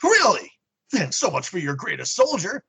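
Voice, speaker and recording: A man's voice: high voice, wildly swooping pitch, moderate pace, vocal fry, bright, forceful tone, breathy edge, pitched above the performer's own voice, full character voice. A man voicing a harsh, contemptuous military dictator father who barks and scolds. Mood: cruel, mocking. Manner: barking, scolding.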